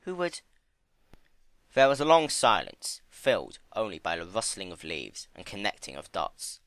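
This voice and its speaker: Bright, expressive man narrating a story. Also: low voice, moderate pace, no room sound. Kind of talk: dramatic reading of fan fiction.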